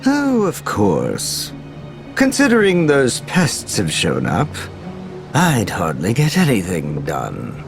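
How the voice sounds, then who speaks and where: An actor playing a sly, detached scientist villain in a video game, languid and sardonic. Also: male voice, lowish voice, huge pitch swings, takes it slowly, music in the background, heavily acted. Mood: sly, mocking. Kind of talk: video game cutscene acting.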